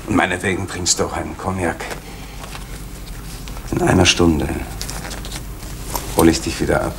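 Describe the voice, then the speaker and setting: A deep-voiced, evasive middle-aged official who smooths things over. He speaks in a placating tone, and loud hiss runs under the old radio recording. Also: steady tone, moderate pace. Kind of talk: 1980s East German radio crime drama.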